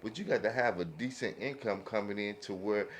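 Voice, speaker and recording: A man's voice: on the low side, fairly quick, a little hiss or hum. A man in a casual, opinionated group chat, speaking emphatically and often checking that listeners follow him. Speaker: podcast panelist.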